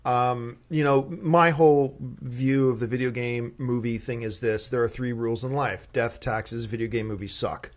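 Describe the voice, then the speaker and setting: A man's voice: mid-range voice, fairly even intonation, moderate pace, hardly any pauses. A man hosting a movie talk show, giving opinions in casual, emphatic speech and repeating words for stress.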